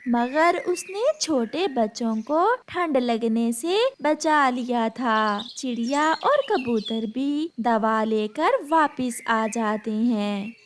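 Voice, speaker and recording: A woman narrates a children's cartoon story in a high voice. She takes her time, reading at a slow, scripted pace, with light noise in the recording.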